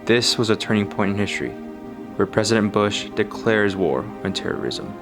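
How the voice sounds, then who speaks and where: A man narrating a documentary in a measured, scripted read. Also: lowish voice, over music. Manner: measured, reading dates and facts.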